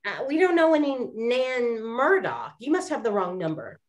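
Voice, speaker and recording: A brisk 1920s lady sleuth, played by a woman in a radio drama. Her voice is fairly high and very animated, with an excitable temper. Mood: flustered, brisk. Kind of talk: radio play acting.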